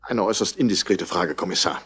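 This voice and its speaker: A man's voice: low voice, fast talker, fairly bright tone, slightly rough. An actor playing a haughty, irritable wealthy man in a 1960s radio play, speaking in a curt, formal way. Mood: cool, formal.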